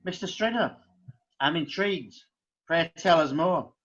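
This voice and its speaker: A stern, sarcastic judge who mocks the people before him, played by a man. His voice sits high and sounds dull and lo-fi, as if from a modest recording. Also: a little slow, a bit bright. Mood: scornful. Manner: scornful, dry, mocking. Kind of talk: amateur radio drama.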